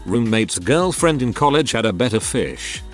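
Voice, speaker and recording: A man reading short written posts aloud as scripted narration, spelling out abbreviations and punctuation in a flat way. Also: medium pitch, normal speed, soft background music. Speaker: narrator of online forum stories.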